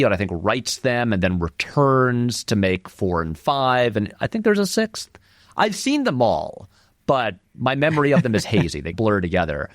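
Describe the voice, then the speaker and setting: A man's voice: fairly low pitch, no echo, clean studio sound. A man giving casual, conversational film commentary as an interview guest, often hedging and qualifying his points.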